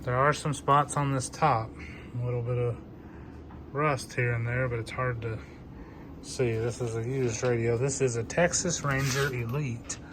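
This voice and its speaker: A man's voice: medium pitch, steady tone, a little slow, noisy background. A man giving a casual, plainspoken demonstration of used gear he is selling, talking off the cuff.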